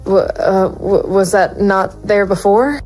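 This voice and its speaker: A woman's voice: mid-range voice, slow, darkish tone, faint music behind, poor audio. A woman playing a brooding, conflicted private investigator narrating a noir audio drama. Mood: awkward, gentle. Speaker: narrator and lead actor.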